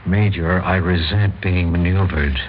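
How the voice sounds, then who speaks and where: An actor with an American accent playing a proud, embattled district attorney with a clipped, questioning manner in classic radio drama style. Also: male voice, sits low, steady tone, unhurried. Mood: defiant, resentful. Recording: muffled old radio recording, faint hiss.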